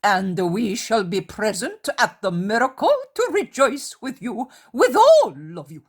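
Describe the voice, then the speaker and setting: A woman's voice: fairly high pitch, big pitch swings, a little slow, husky, exaggerated voice. A woman reading a sly tempting Pharisee. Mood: coaxing. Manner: insinuating, coaxing.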